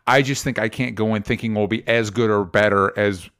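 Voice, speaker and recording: A man's voice: lowish voice, fairly even intonation, fairly quick, fairly bright tone, smooth. Man chatting casually about films, rambling. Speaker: podcast co-host. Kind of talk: casual film discussion chat.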